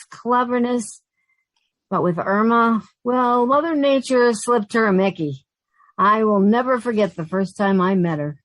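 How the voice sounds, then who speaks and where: A woman's voice: medium pitch, no echo, natural voice, rough recording. A woman playing a wry, long-suffering young city woman who narrates and wisecracks sardonically in a comic old-time radio play.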